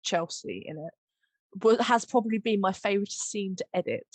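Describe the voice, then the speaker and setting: A woman chatting casually and informally, with an animated, giggly manner, as she hosts a podcast. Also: medium pitch, moderate pace, dry recording. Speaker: podcast host, audio drama director. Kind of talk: casual chat, behind-the-scenes discussion.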